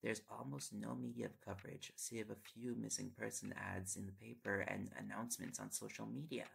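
Man acting a very creaky-voiced affectionate reporter boyfriend. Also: lowish voice, little pitch movement, moderate pace, fairly bright tone, slight background noise. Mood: absorbed, musing. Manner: playful, coaxing, rambling when on a topic. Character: tired, affectionate, teasing young male reporter boyfriend. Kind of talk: intimate audio roleplay, sleep-aid acting.

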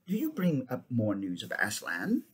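A man's voice: medium pitch, huge pitch swings, moderate pace. A man voicing a fierce young king who gives orders in a raised, commanding voice.

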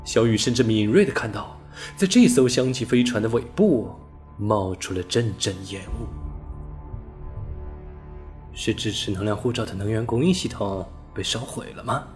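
A man reading a science fiction novel aloud as an audiobook narrator, steady and storytelling, lifting his voice on key words. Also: fairly low pitch, normal speed, faint music behind. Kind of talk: scripted sci-fi novel narration.

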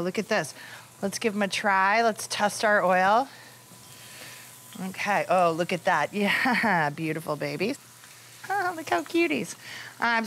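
A woman's voice: medium pitch, a bit bright, a little hiss or hum. A middle-aged woman hosting a cooking show, talking to camera in an upbeat, playful way and repeating words for emphasis. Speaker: TV chef host. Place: indoor studio kitchen set.

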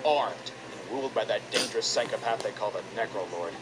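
A man's voice: on the low side, brisk, background noise, close to an everyday voice. An actor playing a nervous young male soldier answering his captain. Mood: uneasy. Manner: respectful, uneasy.

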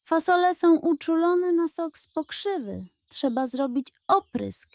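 A woman's voice: very high-pitched, animated, a little slow, darkish tone, no echo, voice pushed up, exaggerated voice. A woman voicing a brave, wise little male rabbit who takes charge in a children's storybook. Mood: urgent, commanding.

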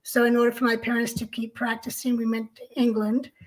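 Woman, middle-aged, from Australia, co-host, video call, slightly high voice, calm measured storytelling. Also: low-quality recording. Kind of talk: casual interview chat. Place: home room, indoors.

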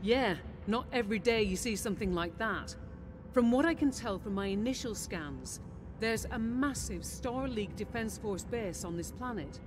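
An actress voicing a steady, loyal female officer in a video game, giving briefings in a measured, serious way. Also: mid-range voice, noisy background, natural voice. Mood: intrigued. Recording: music behind the voice.

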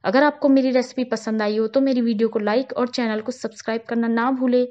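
A woman with a fairly high voice narrates a home cooking tutorial, explaining each step in a calm, instructional way. The voice-over sounds a little dull and lo-fi. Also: brisk. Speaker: cooking channel host. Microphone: voice-over, not on camera.

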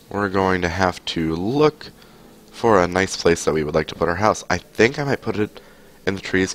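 A young man with a very deep voice talks over his game on a headset mic, stuffy from a cold. He rambles along casually, full of likes and ums, with a faint hiss of noise behind him at home. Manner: rambling, chatty, many fillers. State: has a cold. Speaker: streamer. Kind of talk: casual gameplay commentary. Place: home room, indoors.

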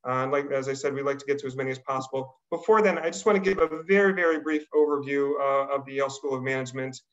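A middle-aged man hosting an online panel, speaking in a halting, polite, apologetic way over a video call. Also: mid-range voice, a bit bright. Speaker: admissions dean hosting a panel. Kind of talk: hesitant unscripted welcome on a webinar. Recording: slightly dull video-call audio.

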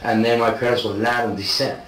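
A man in his forties from South Africa, talking in rambling, rushed bursts while being questioned in a small interrogation room. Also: on the low side, flat delivery, a bit bright. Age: 40s. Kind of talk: police interrogation. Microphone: far ceiling camera. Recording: echoey room, distant mic, faint hiss.

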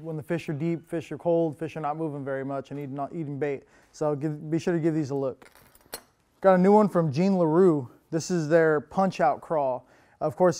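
A young man in a tackle shop walks through new products in a loose, friendly rundown. His voice sits a little high and comes through smooth and clear, dotted with ums and uhs. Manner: relaxed, lots of fillers, upbeat pitches. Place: indoor tackle shop with lure wall.

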